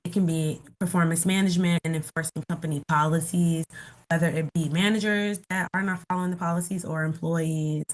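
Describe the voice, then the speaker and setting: A woman in her thirties from Los Angeles, getting over a cold, giving a casual, explanatory webinar talk full of "like" fillers. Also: lowish voice. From Los Angeles, California. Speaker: guest speaker. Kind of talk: webinar presentation.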